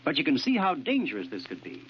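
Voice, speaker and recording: A man's voice: on the high side, huge pitch swings, fast talker, breathy edge. A man announcer giving an earnest, persuasive public service message in 1940s radio style. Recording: muffled, faintly noisy old radio recording.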